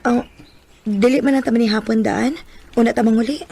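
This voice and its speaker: High-voiced guarded young Filipino woman. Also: fairly even intonation, brisk, creaky, dark tone, husky. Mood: relaxed. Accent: Cebuano Filipino. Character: guarded, sharp-tongued young shop worker wounded by love. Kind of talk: Filipino radio drama acting.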